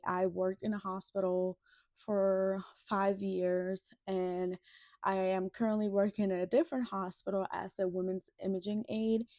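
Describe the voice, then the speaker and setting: A woman's voice: medium pitch, darkish tone, dry recording. Chatty young woman vlogger with dry sound. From Dominican Republic. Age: 20s. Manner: chatty, rambling. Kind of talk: casual get-ready-with-me chat.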